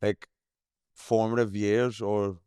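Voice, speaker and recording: A man hosts a casual podcast and asks loose, rambling questions. His voice is fairly low and smooth. The sound is dry and close. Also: flat delivery, slow pace, warm tone, dry recording. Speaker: podcast host.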